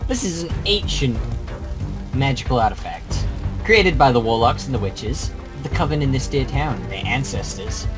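A man's voice: medium pitch, lively intonation, moderate pace, natural voice. A man playing a stern peacemaker in a Minecraft fantasy roleplay, speaking in a declamatory, pleading way. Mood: stern, commanding. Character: stern, preachy peacemaker wielding a magic artifact. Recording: loud music behind the voice.

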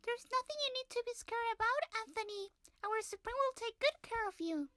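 A woman's voice: very high-pitched, animated, a little fast, fairly bright tone, slight background noise. A woman voicing a catty, scatterbrained female hair stylist in a cartoon, fussy, mock-sweet and dramatic. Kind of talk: animated cartoon voice acting.

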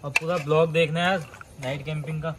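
A man's voice: on the high side, fairly even intonation, brisk. A man vlogging casually outdoors, talking to viewers and prompting his friends. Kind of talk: casual handheld vlog chat with friends. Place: outdoors at a forest camp. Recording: noisy handheld outdoor camping audio.

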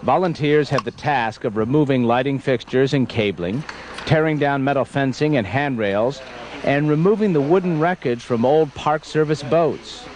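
A man's voice: medium pitch, moderate pace, a little mellow. Male news anchor, some background noise. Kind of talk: scripted news narration and live interview.

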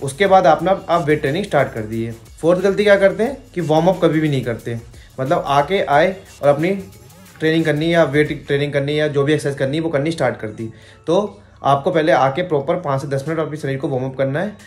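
A man's voice: a little high, fast, faint music behind. A young man vlogging to camera in a room at home, giving direct, friendly fitness advice. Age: young adult. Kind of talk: casual talking-to-camera fitness tips.

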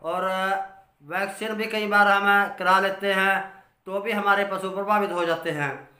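A man, a dairy farmer giving informal advice from notes in halting, explanatory phrases. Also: sits high, sharp tone. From Haryana, India. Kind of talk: informal instructional talk from notes. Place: small curtained room at home. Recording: roomy indoor echo.

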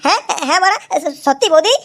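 An actor voicing a crude, excitable young cartoon man who stammers and swears in an exaggerated comic style. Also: very high voice, fast. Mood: nervous, lustful. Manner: stammering, repeats words, swears constantly. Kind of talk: exaggerated comedy cartoon voice acting.

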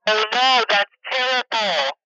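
Female, fairly high and bright, short scripted replies, dull noisy call sound. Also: animated, unhurried, faint music behind. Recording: dull, faintly noisy radio-like call audio.

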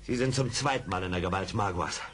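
An actor playing a seasoned, plain-spoken frontier scout in a German audio drama, direct and assured. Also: male voice, deep voice, quick pace, breathy edge. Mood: urgent, reassuring.

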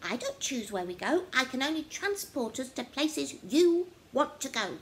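Woman doing a brash, high-pitched little Christmas creature. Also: big pitch swings, measured pace, exaggerated voice. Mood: eager. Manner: loud, exclamatory, theatrical. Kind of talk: children's story character reading.

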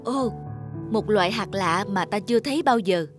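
A woman's voice: mid-range voice, expressive, normal speed, over music, natural voice. A woman voicing a humble, hopeful young husband and father, earnest and respectful, in a cartoon fairy tale.

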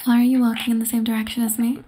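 A woman's voice: fairly high pitch, little pitch movement, racing, mellow, slight background noise, natural voice. A woman voicing an obsessive, cruel popular schoolgirl in an ASMR roleplay, whispering breathily. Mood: haughty. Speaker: voice actress in yandere roleplay audio.

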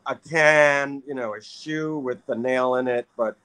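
A man's voice: medium pitch, fairly even intonation, unhurried, bright, forceful tone, clean tone, dry recording. A middle-aged man chatting eagerly on a video call, rambling with lots of fillers as he asks a fellow artist questions. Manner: enthusiastic, rambling, filler-heavy. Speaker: guest artist. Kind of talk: casual video-call interview. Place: home room with arcade cabinet.

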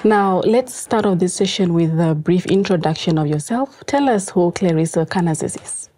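A woman's voice: fairly low pitch, normal speed, warm tone. A woman hosting a studio interview, introducing the guest and asking questions in a formal, scripted way. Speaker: show host. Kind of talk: scripted presenting. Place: TV studio, seated.

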